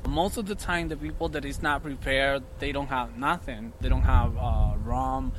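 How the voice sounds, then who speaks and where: A man's voice: medium pitch, steady tone. Man on a noisy street. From Puerto Rico. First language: Spanish. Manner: halting, searching for words, often repeats himself. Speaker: interviewee on the street. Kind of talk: casual chat. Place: outdoors on a city street. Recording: street noise with passing traffic.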